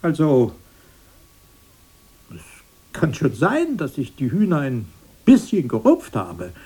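A man's voice: fairly high pitch, huge pitch swings, takes it slowly, darkish tone, husky, full character voice. An actor playing a defensive, dismissive grown brother recalling his childhood in a radio drama.

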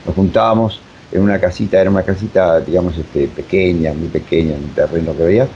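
An older man with an Argentine accent reminiscing in a casual interview, halting and repeating himself. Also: low-pitched, fairly even intonation, warm tone. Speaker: radio drama director, interview guest. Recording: dull remote sound with faint noise.

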